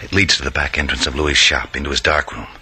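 Very deep, sly radio villain. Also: male voice, fairly even intonation, quick pace, bright, forceful tone, full character voice. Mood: scheming. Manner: sly, menacing. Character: cold, smooth-talking male villain, scheming killer's partner. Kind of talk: 1940s-50s radio crime drama acting. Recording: old radio recording, faint noise.

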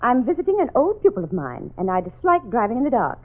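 A prim schoolteacher of thirty years' service, talks in a high, lively, quick way. Her crisp, no-nonsense manner carries through a tinny old radio broadcast. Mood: prim, brisk. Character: prim strict middle-aged schoolteacher woman. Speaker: radio drama actress. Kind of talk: 1940s radio acting.

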